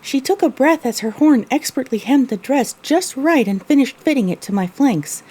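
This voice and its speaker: Woman as husky, mellow young mare narrator. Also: sits high, expressive, moderate pace. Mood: descriptive. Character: young pony mare narrating her own story, wistful. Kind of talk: first-person scripted narration, audio drama.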